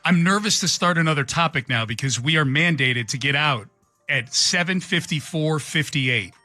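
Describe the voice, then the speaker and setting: A man's voice: on the high side, expressive, moderate pace, bright, forceful tone, soft background music. A man co-hosting a sports radio show, chatting casually and playfully, agreeing and prompting his partner.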